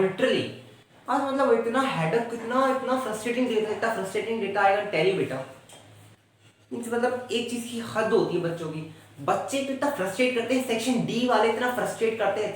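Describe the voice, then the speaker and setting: An exhausted male schoolteacher, as a sketch performer plays him, ranting on and on at his class in mixed Hindi and English. The voice sits at a middling pitch and comes from some way off the mic. The room around it is very echoey. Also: recorded from a distance, slight background noise, lightly acted. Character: fed-up male schoolteacher, tired. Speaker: teacher in a classroom skit. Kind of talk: comedy sketch acting.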